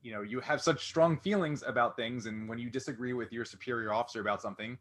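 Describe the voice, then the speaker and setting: A man's voice: mid-range voice, fast talker, heavy background noise. A man hosting a casual interview, thinking aloud in a rambling, conversational way. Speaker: interview host.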